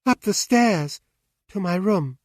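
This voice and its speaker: A distraught young woman pours out a fearful confession, as a male reader voices her. The voice is lifted higher than his own, slow and trembling with anguish. The sound is dry and close. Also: expressive, dry recording. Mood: tearful. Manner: anguished, pleading.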